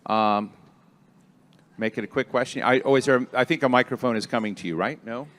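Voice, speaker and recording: A man's voice: medium pitch, steady tone, fairly quick, fairly bright tone. A man with an ordinary mid-range voice moderates a panel before an audience. He talks in a loose, rambling, conversational way. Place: stage before a live audience.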